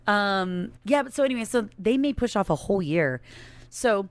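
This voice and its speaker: A woman in her late thirties co-hosting a podcast in casual, chatty banter with her spouse, informal and quick to laugh. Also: medium pitch, lively intonation. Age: late 30s. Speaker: podcast co-host.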